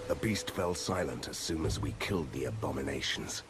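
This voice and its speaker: A man playing a stern, resolute monster hunter in a video game, dryly witty, deadpan and dramatic. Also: very deep voice, brisk, over loud music. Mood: dry, serious. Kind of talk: video game hero acting.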